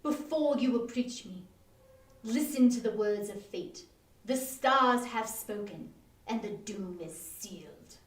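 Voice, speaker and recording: A woman voicing a jovial, teasing, devoted Victorian husband with old-fashioned gentlemanly speech, in a dramatic story reading. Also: a little high, lively intonation, slow pace. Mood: mock tragic. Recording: roomy, distant-mic live online reading.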